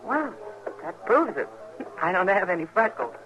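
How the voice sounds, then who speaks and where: An actress playing a frank, warm-hearted American saloon woman in an old-time radio Western. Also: lowish voice, very animated, normal speed, low-quality recording. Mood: gentle, wistful. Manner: wry, frank. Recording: vintage radio drama with music.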